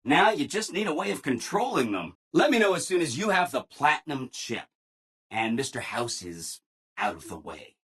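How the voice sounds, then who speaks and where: Chirpy, eager-to-please robot assistant. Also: male voice, mid-range voice, sing-song, normal speed, fairly bright tone, slightly rough, exaggerated voice. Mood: chipper. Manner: chirpy, over-agreeable, exaggerated enthusiasm. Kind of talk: scripted video game dialogue.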